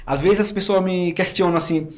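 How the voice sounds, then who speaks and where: A man's voice: sits high, a little fast. A man with a Northeastern Brazilian accent mixed with São Paulo, a reporter telling stories in a podcast chat and often saying "cara". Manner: animated storyteller, often says "cara". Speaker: reporter guest.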